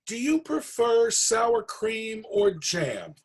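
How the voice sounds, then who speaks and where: A man's voice: high voice, sing-song, slow pace, slightly rough, pitched above the performer's own voice, exaggerated voice. A man voicing a kindly, chatty old grandmother who fondly scolds and fusses over her guest in a children's story. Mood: coaxing.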